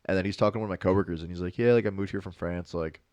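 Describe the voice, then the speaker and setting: A young man with a deep, low voice chats on a podcast, rambling through stories and leaning on "like" at every turn. The sound is close and dry, with no room around it. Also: rapid-fire, darkish tone, dry recording. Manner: rambling storyteller, lots of "like" fillers. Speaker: podcast co-host. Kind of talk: casual chat.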